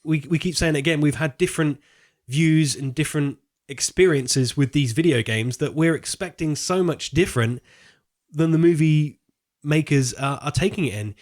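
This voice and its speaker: A man's voice: fairly high pitch, normal speed. A man co-hosting a podcast, chatting casually about films in an informal, rambling way as he thinks aloud. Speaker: podcast co-host. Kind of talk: casual film discussion chat.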